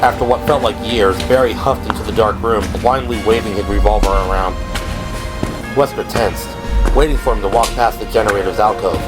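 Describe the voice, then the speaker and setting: A man's voice: fairly low pitch, a little fast. Male narrator over prominent music. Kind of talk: dramatic scripted audiobook-style narration. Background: dramatic background music.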